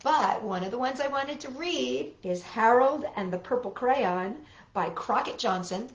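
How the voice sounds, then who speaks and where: A middle-aged woman hosting a children's storytime, with an expressive, storytelling way of talking, recorded in a living room. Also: mid-range voice. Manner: animated, expressive, deliberate phrasing when reading. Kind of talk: storytelling for children, talk and picture-book reading. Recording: roomy echo, dull lo-fi sound.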